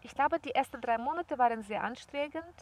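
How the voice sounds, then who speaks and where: A young woman tells stories in a lively, chatty way. Her voice sits a little high. A faint hum of outdoor noise runs underneath. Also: fairly quick. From former Soviet Union. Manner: lively, chatty storytelling with laughs. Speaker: interviewee. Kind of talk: casual conversation. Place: outdoors, birds nearby.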